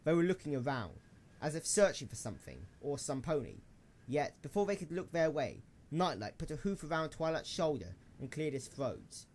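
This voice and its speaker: A man's voice: fairly high pitch, very animated, moderate pace, a little mellow, a little hiss or hum. A man reading scripted story narration for an amateur radio play in a dramatic storytelling style.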